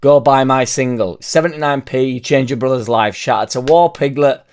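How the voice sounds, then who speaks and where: A young man, hoarse from losing his voice, ranting casually about football with loud bursts and swearing. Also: mid-range voice, normal speed, slight background noise. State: hoarse, partly lost voice. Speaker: football fan vlogger. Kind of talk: casual rambling fan vlog.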